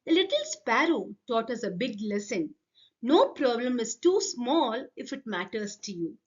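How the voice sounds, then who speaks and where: Female folk tale narrator for children, high voice, expressive read, somewhat dull recording. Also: unhurried. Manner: expressive, addresses listeners directly. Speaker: storyteller.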